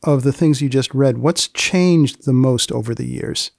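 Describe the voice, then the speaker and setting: Male host, lowish creaky voice, dryly mock-formal, close dry recording. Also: a little slow, a little mellow, no echo. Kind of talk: wry podcast hosting.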